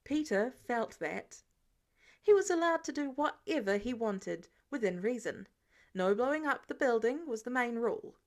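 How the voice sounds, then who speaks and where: Woman, higher-pitched voice, audiobook-style reader, expressive scripted fiction narration. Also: normal speed.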